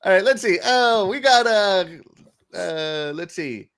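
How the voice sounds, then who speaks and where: Upbeat man hosting, fairly high voice. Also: lively intonation, slow pace, a bit bright, clean tone. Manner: upbeat, laughs often, hesitant fillers. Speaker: podcast host reading chat. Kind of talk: casual chat.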